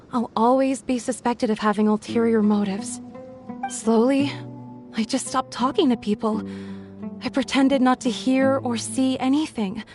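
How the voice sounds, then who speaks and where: A woman voicing a withdrawn young village woman in a video game, soft-spoken, hesitant and guarded. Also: high-pitched. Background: game background music.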